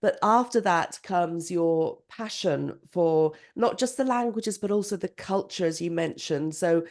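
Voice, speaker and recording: A woman hosting an English-learning podcast, speaking in an enthusiastic, expressive way as she introduces and questions her guest. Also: lowish voice, a little slow. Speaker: podcast host. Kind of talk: podcast interview.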